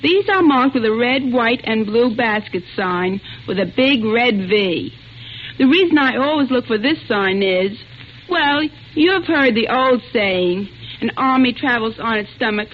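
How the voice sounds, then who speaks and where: A woman's voice: on the high side, lively intonation, a little slow. A young woman giving an earnest, plain-spoken scripted testimonial in the style of 1940s radio. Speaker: speaker in a wartime radio food appeal. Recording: dull, noisy vintage radio recording.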